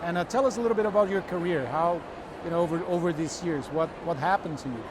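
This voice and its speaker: A man's voice: fairly high pitch, quick pace. A man hosting an interview at a trade show, asking questions with frequent repeated words and restarts. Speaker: interview host. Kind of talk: conversational interview. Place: busy trade show floor. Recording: noisy trade show floor.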